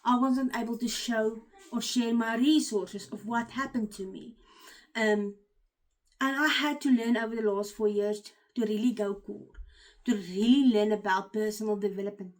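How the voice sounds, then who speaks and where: An Afrikaans-accented woman with a fairly high voice, speaking earnestly and candidly as a life coach. She talks in a personal, unscripted way, with frequent fillers and restarts. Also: fairly even intonation, a little slow, darkish tone, poor audio. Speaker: life coach and channel host. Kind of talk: personal motivational talk to camera.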